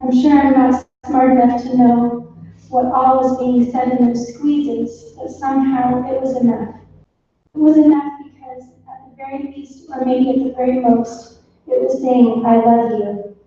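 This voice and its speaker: A middle-aged woman reading family tributes at a funeral in a halting, informal way. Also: sits high, level tone, warm tone. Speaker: granddaughter speaking for her family. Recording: echoey room, distant muffled mic, faint noise.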